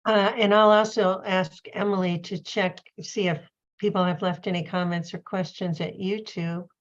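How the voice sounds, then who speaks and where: A woman's voice: on the low side, fairly even intonation, normal speed. A woman moderating a panel conversation, reflective and a little hesitant, on a dull recording. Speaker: event moderator and poet.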